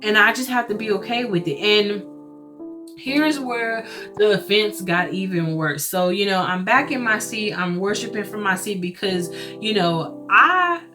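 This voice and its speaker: A woman speaks in a loud voice that carries, telling her church story casually but earnestly. She leans on her points and tosses in rhetorical asides. Soft guitar music plays clearly behind her throughout. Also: mid-range voice, expressive, a little slow. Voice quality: loud, carrying voice. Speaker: churchgoer sharing a personal testimony.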